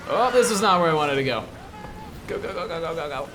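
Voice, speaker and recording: A man's voice: fairly high pitch, animated, fairly quick, crowd noise. An American man from the Midwest hosting casual game commentary, rambling and explanatory with an enthusiastic manner. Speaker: show host, 3D artist.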